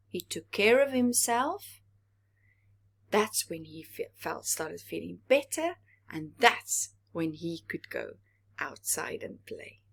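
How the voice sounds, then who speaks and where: A woman's voice: mid-range voice, gravelly, breathy edge. A woman reading a picture book aloud to young children, with dramatic delivery and asides to the listeners. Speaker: children's story reader. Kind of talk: expressive read-aloud picture-book narration with whispered asides.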